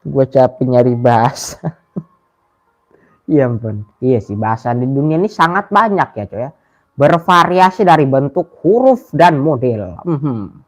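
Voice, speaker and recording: A man's voice: medium pitch, expressive, moderate pace. A man with an Indonesian accent giving casual, joking game commentary, slangy and sweary. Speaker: gaming streamer.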